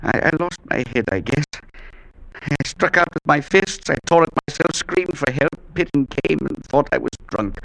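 A terrified professor haunted by something unseen, in overwrought 1940s radio horror style. His halting voice runs fairly high with a gravelly edge, swinging widely in pitch, over a faintly noisy, scratchy old recording. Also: animated, a bit bright, dry recording. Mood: shaken, disgusted. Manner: anguished, halting, overwrought delivery. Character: terrified male professor tormented by an unseen presence.

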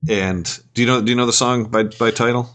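A man co-hosting a casual podcast chat, talking in a halting way with many repeated words. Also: mid-range voice, steady tone, fairly bright tone. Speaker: podcast co-host.

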